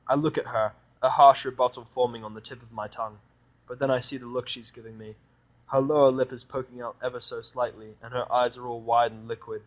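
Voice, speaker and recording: A man narrating a first-person fan fiction audio drama in a wry, world-weary, expressive way. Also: medium pitch, brisk, low-quality recording.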